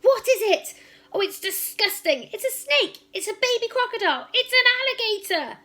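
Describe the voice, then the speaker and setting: A woman's voice: very high voice, expressive, moderate pace, pushed and bright, pitched above the performer's own voice, heavily acted. A woman voicing a huge, furious, bullying headmistress who barks and roars accusations in a children's storybook. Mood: disgusted alarm. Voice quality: barking, roaring. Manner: menacing, accusing, insulting.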